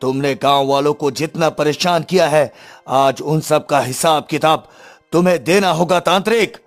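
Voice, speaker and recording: A man's voice: fairly high pitch, normal speed, soft background music, lightly acted. A man voicing a brave young prince in a cartoon story, speaking formally and resolutely. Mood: resolute challenge. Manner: formal, declarative.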